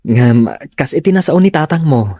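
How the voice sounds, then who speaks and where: Actor playing an exasperated scolding character, swooping pitch. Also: lively intonation, moderate pace, dark tone, no echo, clean studio sound, lightly acted. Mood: troubled. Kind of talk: Ilocano comedy drama dialogue.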